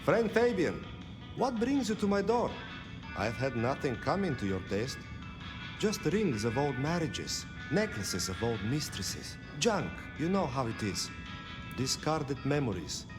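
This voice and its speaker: A man's voice: medium pitch, sing-song, a little slow. A man voicing a weary, friendly pawnbroker in a video game, speaking in theatrical, wistful phrases. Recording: music behind the voice.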